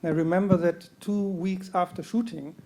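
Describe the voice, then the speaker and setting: A man's voice: high-pitched, steady tone, moderate pace, warm tone. A man, a film director, speaking reflectively on a panel with stammered restarts. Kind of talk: panel talk.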